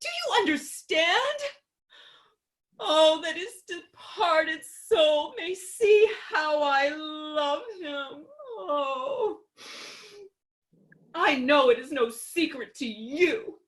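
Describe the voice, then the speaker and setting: A woman playing a melodramatic, self-pitying and imperious young widow in a staged reading of a comedy, lamenting in a theatrical, drawn-out way. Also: high-pitched, animated, fairly bright tone, exaggerated voice. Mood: tearful devotion.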